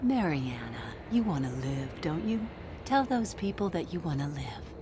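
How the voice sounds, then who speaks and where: A menacing alien villainess from a sci-fi game, with a fairly low voice. She is cold, cruel and taunting, set against clearly noisy ambience. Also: very animated, moderate pace. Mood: threatening. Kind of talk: cinematic video game voice acting.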